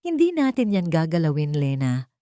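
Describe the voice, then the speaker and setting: A woman's voice: low-pitched, very animated, moderate pace, dark tone, no echo. A woman voicing a stern, proud, principled aunt who speaks firmly and indignantly in a dramatic audio storybook. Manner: firm, indignant.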